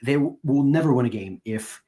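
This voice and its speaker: A man's voice: medium pitch, expressive, a little fast, background noise. Male sports podcast co-host and beat reporter, mid-range voice, casual conversational analysis.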